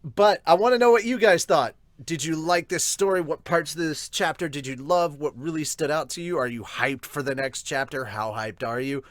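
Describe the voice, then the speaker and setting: An excitable, giggly, sweary man with hiccups, hosting a casual manga review and reading out viewer comments. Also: a little high, moderate pace, hardly any pauses, dry recording. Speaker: host of a manga review show.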